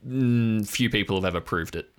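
A man narrating an improvised audio drama as game master, in a halting, measured, descriptive way. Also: lowish voice, clean tone.

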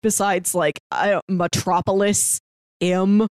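A woman's voice: medium pitch, level tone, slow, darkish tone, no room sound, studio quality. A woman co-hosting a casual review show, talking in an informal, conversational way. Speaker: podcast host.

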